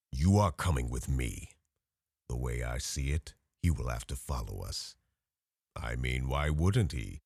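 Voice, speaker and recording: A man playing a pious, menacing vampire hunter in an ASMR roleplay, with formal, taunting, gentlemanly speech. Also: extremely low pitch, gravelly, husky, no echo, studio quality, heavily acted. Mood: mocking, threatening.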